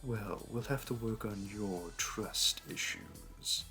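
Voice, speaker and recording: A man playing a smug, flirty supervillain in an audio roleplay, talking in a teasing, theatrical, unhurried way. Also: lowish voice, sharp tone. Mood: playful, teasing. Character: smug, flirty male supervillain hosting a hero in his lair. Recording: music behind. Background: background music.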